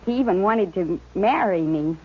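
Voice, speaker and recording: A woman's voice: medium pitch, animated, normal speed, husky. An actress playing a timid, earnest fifteen-year-old prairie girl in a 1950s radio western, hesitant and deferential, with a rural American accent. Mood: reluctant, uneasy. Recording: muffled old radio broadcast.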